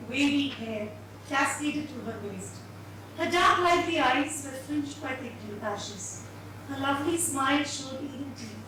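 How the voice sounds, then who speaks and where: Female narrator, high pitch, expressive literary reading, live in a noisy reverberant room. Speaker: reader. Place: live reading at an indoor event venue. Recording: echoey hall, far mic, noisy.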